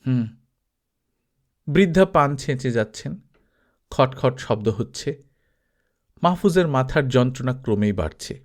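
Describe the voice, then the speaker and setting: A man's voice: mid-range voice, lively intonation, moderate pace, long pauses. A man narrating a story as an audiobook reader, in a scripted literary style.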